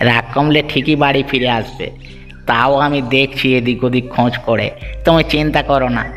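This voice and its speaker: An actor voicing a caring village neighbor in a cartoon, reassuring and soothing. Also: male voice, mid-range voice, steady tone, brisk, a bit bright, in a large hall, soft background music, lightly acted. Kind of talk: Bengali cartoon dubbing.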